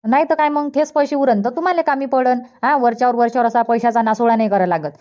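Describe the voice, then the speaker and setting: Cartoon village mother, played by a woman, high-pitched, rapid-fire, Vidarbha Marathi, thrifty, persistent adviser. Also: darkish tone, lightly acted. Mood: advising. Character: thrifty, practical older village mother visiting her daughter. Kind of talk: cartoon comedy dialogue. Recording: dull lo-fi cartoon dub.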